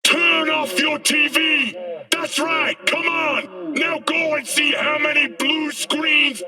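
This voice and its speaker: A man's voice: extremely high pitch, pushed and bright, far from the mic, faint music behind, exaggerated voice. A man playing a fiery, paranoid anti-corporate street prophet in a video game, haranguing people in a declamatory protest rant. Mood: rousing, defiant. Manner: declamatory, haranguing, drawn-out emphasis. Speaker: street agitator, conspiracy preacher. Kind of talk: ranting protest speech, video game acting.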